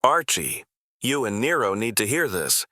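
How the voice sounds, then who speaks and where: An actor playing an earnest, polite, businesslike go-between in a scripted mystery drama. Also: male voice, medium pitch, very animated, measured pace, pushed and bright, no echo, clean studio sound, heavily acted. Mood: urgent. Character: Benny, earnest, businesslike male go-between and writer.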